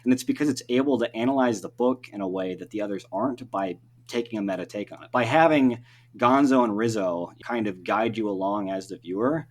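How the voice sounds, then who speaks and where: A man's voice: on the low side, brisk, darkish tone. A man speaking as a podcast guest and writer, explaining things in a talkative, casual conversational way.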